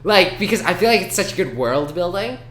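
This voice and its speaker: A man's voice: high voice, animated, a little fast, fairly bright tone. A man co-hosting a talk show in casual chat, thinking aloud with some hesitation. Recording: echoey room.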